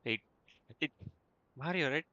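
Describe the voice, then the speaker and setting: A man's voice: on the high side, normal speed, sharp tone, dry recording, lo-fi sound. A man livestreaming a game with casual, off-the-cuff commentary, with slight noise in the recording. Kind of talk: casual playthrough commentary, muttering and echoing dialogue.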